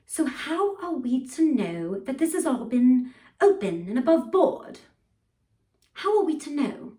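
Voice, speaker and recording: A woman playing a tipsy, pompous upper-class English gentleman with a put-on British accent, rambling through a grandiloquent speech. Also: high-pitched, sing-song, unhurried. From United States. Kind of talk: theatrical monologue performance. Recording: voice from far off the mic.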